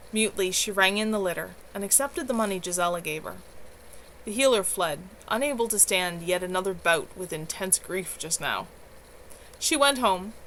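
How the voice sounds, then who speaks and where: A woman's voice: mid-range voice, a bit bright, poor audio. Female amateur narrator, mid-range voice, earnest storytelling read, recorded at home with faint noise behind. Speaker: author reading own novel aloud. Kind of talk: expressive audiobook-style narration. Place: at home, livestream. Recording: clear background noise, home livestream.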